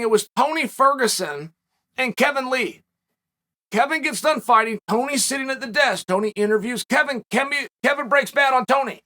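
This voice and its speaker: A man in his forties hosting a solo commentary show, speculating and telling stories in an animated, emphatic way from a dark studio set. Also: very high-pitched.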